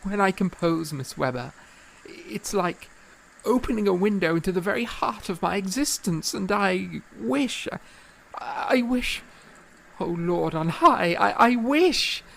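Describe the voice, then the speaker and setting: An actor playing an earnest, impassioned and self-doubting young composer in a period audio drama, with stammering, effusive, formal old-fashioned speech. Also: male voice, high-pitched, animated, measured pace, gravelly, fairly bright tone, exaggerated voice, low-quality recording. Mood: impassioned, yearning.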